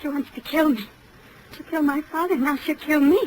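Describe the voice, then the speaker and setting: Woman acting frightened young stepdaughter, high-pitched, melodramatic, 1940s radio play, noisy vintage recording. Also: steady tone, a little fast, natural voice.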